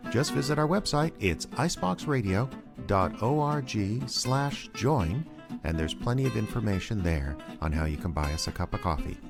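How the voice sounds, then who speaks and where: Animated low-voiced male announcer over guitar. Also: normal speed, vocal fry. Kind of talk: scripted fundraising announcement. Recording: guitar music behind the voice.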